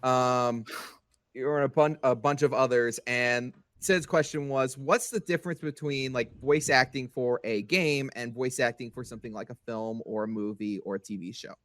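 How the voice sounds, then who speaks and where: Dry, mid-pitched man hosting a podcast. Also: fairly even intonation, normal speed, fairly bright tone, no room sound. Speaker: podcast host asking questions. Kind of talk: casual interview chat.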